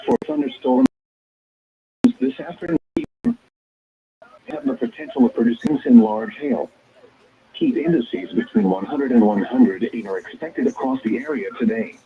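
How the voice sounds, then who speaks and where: A man's voice: mid-range voice, creaky. A synthetic American male voice reading scripted weather bulletins in a formal, impersonal, segmented way, heard over the radio. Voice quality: synthetic text-to-speech voice. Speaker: automated weather radio announcer. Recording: dull, band-limited weather radio broadcast.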